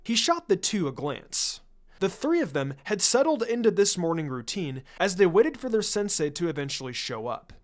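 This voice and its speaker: Male narrator for fan fiction audiobook, higher-pitched, lively and varied delivery. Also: normal speed. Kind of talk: scripted story narration.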